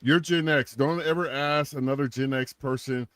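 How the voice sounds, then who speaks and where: A man's voice: mid-range voice, fairly even intonation, moderate pace, fairly bright tone, dry recording, studio quality. A man in his forties co-hosting a podcast, joking and rambling through a donation plug with many restarts. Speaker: podcast co-host. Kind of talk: casual podcast chat with sponsor-style plugs.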